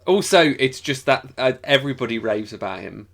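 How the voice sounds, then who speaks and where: Bloke on a fan podcast, normal pitch, wry jokey chat, pretty casual. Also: male voice, expressive, fairly quick, creaky, fairly bright tone. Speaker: podcast co-host. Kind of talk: casual chat about a TV show and its fandom.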